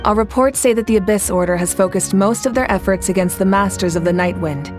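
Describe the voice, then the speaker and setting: A woman voicing a calm, commanding leader. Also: medium pitch, music in the background, lightly acted. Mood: steady. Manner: measured, reassuring, authoritative. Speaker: leader of a nation at war. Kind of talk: video game voice acting.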